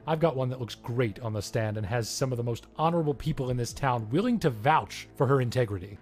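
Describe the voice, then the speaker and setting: A man plays a defense attorney in a legal soap drama, with a medium-pitched voice. He is arrogant and combative, making cocky threats to his opponents. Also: fast, faint music behind, natural voice.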